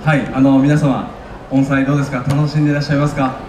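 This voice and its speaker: A man leading a dance team speaks politely to a festival crowd over a loudspeaker at an outdoor stage, building up to rallying calls. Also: medium pitch, little pitch movement, quick pace. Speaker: yosakoi team leader addressing the crowd. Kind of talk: outdoor event MC speech. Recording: echoey PA heard from far off. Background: crowd noise and open-air ambience.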